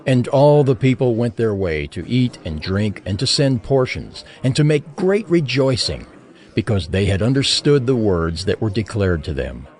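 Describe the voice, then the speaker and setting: A man reading scripture as the narrator of an audio drama, in a measured, formal manner. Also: medium pitch, very animated, darkish tone.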